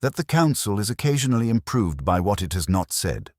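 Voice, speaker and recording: A man's voice: fairly low pitch, very animated, brisk, no echo, clean studio sound, voice pushed up. A man voicing a prim, discreet female council clerk with formal, careful wording, in an audiobook mystery. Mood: coolly discreet.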